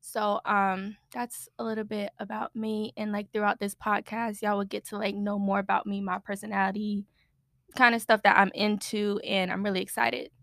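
A woman's voice: mid-range voice, little pitch movement, moderate pace, gravelly, fairly bright tone, no echo, clean studio sound. A woman in her twenties, co-hosting a podcast in a casual, bubbly chat, a little nervous and chatty. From United States. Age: 20s. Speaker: podcast co-host.

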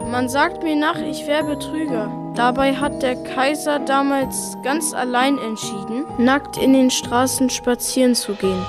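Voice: high voice, steady tone, unhurried. A female performer playing a wrongly accused fairy-tale tailor in a children's radio play, speaking earnestly in self-defence. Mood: defensive. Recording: music playing behind the voice.

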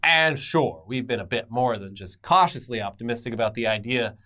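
A man's voice: medium pitch, expressive, fairly quick, bright, forceful tone. A man co-hosting an entertainment news show with semi-scripted commentary and casual banter, emphatic, irreverent and sarcastic.

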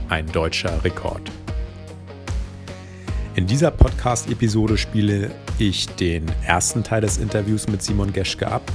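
Podcast host guy, lowish voice, music under the intro. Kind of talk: scripted podcast intro, then interviewing.

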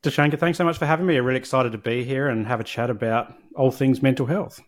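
A man's voice: medium pitch, quick pace. A man, a podcast guest candidly and reflectively telling his personal story. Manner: candid, reflective, with frequent restarts.

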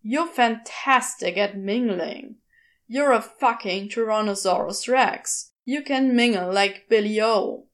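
A woman's voice: mid-range voice, slow. A woman voicing a grumbling, sardonic male demon in a velociraptor's body, rambling and exasperated, in a storybook reading. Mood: incredulous, ranting. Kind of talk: storybook character voice in audio fiction.

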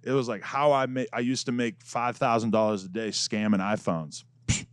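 A man talking casually and rapidly in a studio interview, rambling and profane, often laughing. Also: medium pitch, fairly even intonation, clear, resonant tone, no echo. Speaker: podcast host being interviewed.

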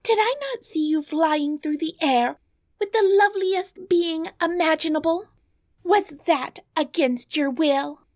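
Woman as young fairy-tale princess, very high voice, plaintive, theatrical, distressed. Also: measured pace, a little mellow, no echo, studio quality. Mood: reproachful. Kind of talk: storybook character voice.

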